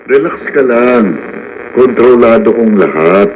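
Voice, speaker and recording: A Filipino actor in a radio drama playing a cool, secretive, controlling schemer who reassures firmly with quiet menace. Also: male voice, medium pitch, animated, unhurried, lo-fi sound, lightly acted.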